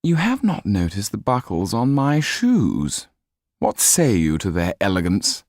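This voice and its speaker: A pompous, peevish aristocrat, pitched well above a natural speaking voice and drawling haughtily. He is fussy and pleased with himself, every phrase drawn out. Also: huge pitch swings, measured pace, a little mellow, no echo, studio quality, exaggerated voice. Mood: peevish, vain. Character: vain, peevish, pompous French aristocrat man, a Marquis.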